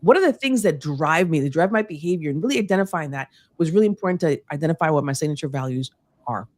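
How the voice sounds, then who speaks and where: A woman's voice: sits low, expressive, quick pace, darkish tone, no echo. Woman from puerto rico, spanish first language, earnest guest on a webinar, lots of "you know", dry close mic. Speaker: guest speaker. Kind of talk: webinar interview answers.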